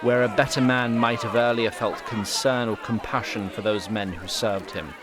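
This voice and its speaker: A man's voice: lowish voice, fairly even intonation, moderate pace, crowd noise. A man narrating a scripted fantasy audio drama in a literary, wry storytelling manner.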